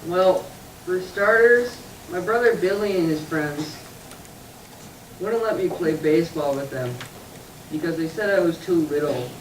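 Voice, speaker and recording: A woman's voice: lowish voice, a little slow, far from the mic, lightly acted. A small, sulky boy puppet who whines and sniffles through his confession, as a woman puts him on. The voice is caught from afar, ringing around an echoey room with a faint hiss underneath. It has the plain sound of a church puppet show. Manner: whiny and sulky, cries in bursts.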